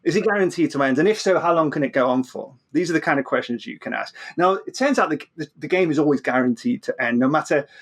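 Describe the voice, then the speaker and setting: A man's voice: fairly high pitch, animated, fast, hardly any pauses. A man explaining science informally as an interview guest, often repeating words and restarting, checking in with "right?".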